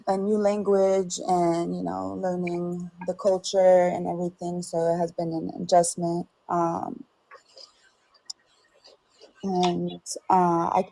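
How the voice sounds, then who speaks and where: A young woman from Ukraine, a podcast guest telling her own story in a halting, hesitant, soft-spoken way over a remote call. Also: fairly low pitch, vocal fry, low-quality recording. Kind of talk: personal testimony.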